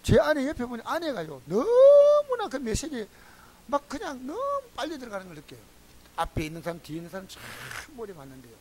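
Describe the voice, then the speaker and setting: A man's voice: very high-pitched, brisk. A man preaching a sermon as pastor, speaking in a Gyeongsang accent and telling folksy stories to his congregation. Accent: Gyeongsang Korean. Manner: folksy, animated storytelling to the congregation.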